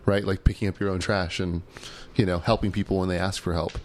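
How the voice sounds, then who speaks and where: Low-voiced playful man in his thirties. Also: flat delivery, fast. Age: 30s. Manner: chatty, playful. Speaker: podcast co-host. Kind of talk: casual podcast chat.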